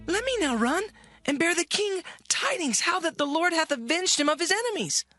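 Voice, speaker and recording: Very shrill, eager young messenger. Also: female voice, sing-song, normal speed, bright, forceful tone, soft background music, full character voice. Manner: eager, pleading, archaic speech. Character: eager, insistent youth begging to run as messenger. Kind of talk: dramatized scripture acting.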